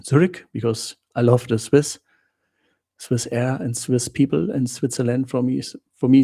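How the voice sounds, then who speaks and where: A German-accented man hosts a relaxed live Q&A for his viewers. His low voice is gravelly with a husky edge, and his tone is dark and mellow. He stops often to hunt for English words, then sums things up in short, blunt exclamations. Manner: hesitant, searches for words with many fillers, blunt exclamations. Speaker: livestream host answering viewer questions. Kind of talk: casual live Q&A chat.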